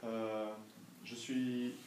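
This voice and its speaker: A young man answers questions in an informal classroom interview. He speaks at a medium pitch in a careful, didactic way, checking often that he is followed. The room is echoey, with faint noise behind him. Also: level tone, very slow, a little mellow, distant mic. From France. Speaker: language assistant being interviewed by students.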